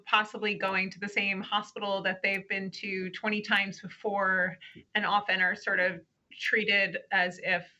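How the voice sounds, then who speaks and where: A woman's voice: medium pitch, bright, forceful tone, dull audio. A woman newspaper reporter explaining her reporting in a remote news interview, with frequent "you know" fillers.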